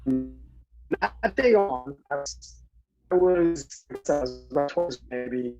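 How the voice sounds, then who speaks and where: A man from New Jersey earnestly recounting a personal story in conversation, halting, with many fillers and restarts. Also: a little high, lively intonation, a little mellow, lo-fi sound, soft background music. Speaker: guest speaker.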